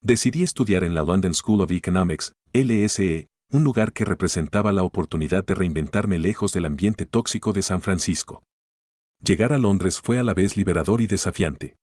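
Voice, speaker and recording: A man narrating a first-person story in a steady, measured way. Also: sits low, dry recording, studio quality.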